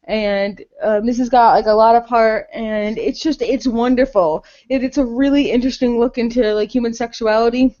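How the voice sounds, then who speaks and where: Young female podcast co-host, high register, gushing and slangy, muffled voice-chat sound. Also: steady tone, moderate pace, hardly any pauses, dark tone. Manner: gushing, enthusiastic; sweary, slangy.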